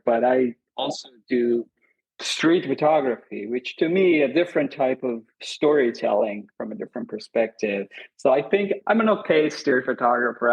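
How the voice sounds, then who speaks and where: A man in his thirties with an Iranian accent, earnest and reflective, who often doubles his words for emphasis. His voice is breathy and a little husky and sits at an ordinary middle pitch. The podcast audio is muffled and lo-fi. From Iran. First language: Azerbaijani Turkish, Kurdish and Farsi. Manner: thoughtful, earnest, repeats words for emphasis, laughs easily. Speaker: lighting designer, guest. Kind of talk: podcast interview.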